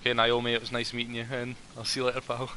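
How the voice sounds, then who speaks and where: Chatty man, very bright tone. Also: medium pitch, monotone, rapid-fire, a little hiss or hum. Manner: friendly, rambling, with many ums and restarts. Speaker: roleplay player as a ranger. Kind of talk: in-character roleplay chat.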